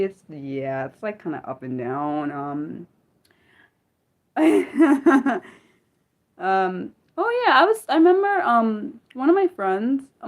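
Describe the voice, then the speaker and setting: A woman in her thirties hosting an unscripted livestream, chatting casually with viewers and reading comments, rambling and correcting herself as her energy swings between excited and subdued. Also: medium pitch. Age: 30s. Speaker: livestream host.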